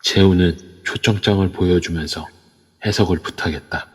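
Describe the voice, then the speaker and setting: A man narrating an amateur audio drama in steady storytelling form. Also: low-pitched, normal speed, breathy edge. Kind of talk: scripted narration. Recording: reverb-heavy online audio.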